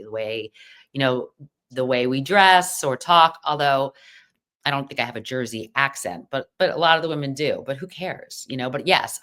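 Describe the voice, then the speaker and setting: A woman's voice: very deep voice, fairly bright tone. A middle-aged American woman chatting casually as a podcast guest, full of fillers and restarts. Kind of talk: casual chat.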